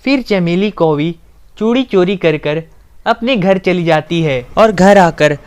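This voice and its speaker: A woman's voice: fairly low pitch, expressive, slow pace, a little mellow, clear, resonant tone. A woman narrator reading a scripted children's story.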